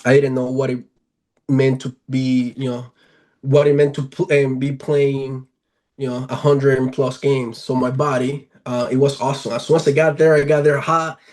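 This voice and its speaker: A man's voice: medium pitch, flat delivery, moderate pace, a little mellow. A man in his twenties from Venezuela with a Latin American accent, talking casually as an athlete being interviewed. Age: 20s. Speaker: professional baseball player, interview guest.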